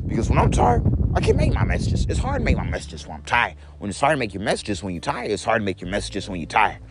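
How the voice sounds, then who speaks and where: A young man with a deep voice talking in a rambling daily vlog, repeating each point in an if-you, to-me pattern and calling his viewers guys. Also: huge pitch swings, fast talker, heavy background noise. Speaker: vlogger.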